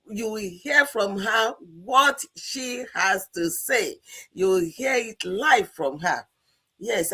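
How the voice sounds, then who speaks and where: A woman from Nigeria co-hosting a live-stream chat, unhurried and musing with "hmm" pauses. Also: medium pitch, fairly bright tone, breathy edge. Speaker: co-host.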